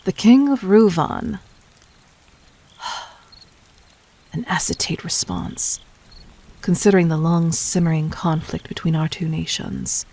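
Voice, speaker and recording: A woman playing a calculating, commanding demon queen in an audio roleplay, speaking formally and deliberately in a soft voice that often dips toward a whisper. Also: lowish voice, steady tone. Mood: cool, commanding. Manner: measured, regal, formal, deliberate. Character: calculating, commanding demon queen toying with her would-be assassin. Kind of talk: scripted audio roleplay addressed to the listener.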